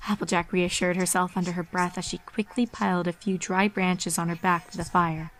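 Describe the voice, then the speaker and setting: A woman's voice: fairly low pitch, flat delivery, fairly quick, a bit bright, rough recording. A woman reading a horror fan fiction story aloud as its narrator.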